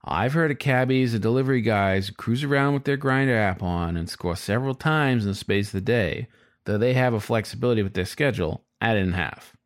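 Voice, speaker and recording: A man's voice: mid-range voice, brisk, natural voice. A man voicing a sly, frustrated male fox who narrates his story in a wry, confiding, colloquial way, as in an audiobook.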